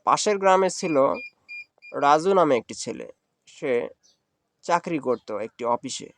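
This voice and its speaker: Male cartoon storyteller, slightly high voice, scripted narration. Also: expressive, unhurried, a little hiss or hum. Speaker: narrator and voice actor.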